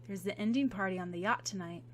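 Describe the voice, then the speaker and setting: A woman voicing a sly boy who speaks quickly and knowingly. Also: medium pitch, a little hiss or hum, natural voice. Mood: teasing.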